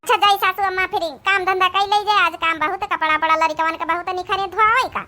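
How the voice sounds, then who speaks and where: Woman as a bright, high-voiced Awadhi village daughter-in-law. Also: steady tone, a little fast. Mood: agreeable. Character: dutiful, busy young village daughter-in-law. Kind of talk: comic cartoon dialogue.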